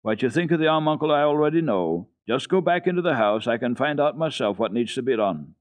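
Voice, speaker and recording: A man voicing a gruff old mountain grandfather, curt, proud and commanding, in a storybook reading. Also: mid-range voice, expressive, a little fast, no echo, voice pushed up, close to an everyday voice. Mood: gruff, impatient. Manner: brusque, commanding. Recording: dull archival radio tape.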